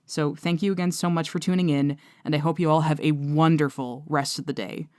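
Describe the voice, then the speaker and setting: A woman's voice: sits low, fairly even intonation, brisk, darkish tone, dry recording, studio quality. A woman giving a casual, chatty thank-you outro to an audience in an enthusiastic, gushing, informal way. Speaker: writer and voice actor thanking audience.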